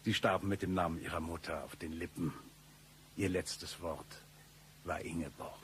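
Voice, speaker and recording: A cheerfully absurd ex-professor turned contract killer. He is low-pitched, chummy and self-important, in satirical radio play style, over a faint hum. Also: steady tone, normal speed, fairly bright tone. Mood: boastful. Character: shady, eccentric male ex-professor turned hitman, cheerfully absurd.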